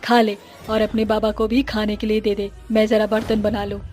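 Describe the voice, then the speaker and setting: Woman acting as witch mother, flat intonation, dark mellow tone, matter-of-fact, storybook. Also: medium pitch, normal speed, close to an everyday voice. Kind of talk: dramatised children's story. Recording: music under the voice. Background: music.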